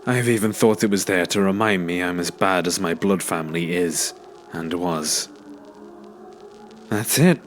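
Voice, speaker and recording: A man's voice: sits low, measured pace, long pauses. A man reading a first-person scary story aloud as a scripted narration. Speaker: narrator reading submitted ghost stories. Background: music.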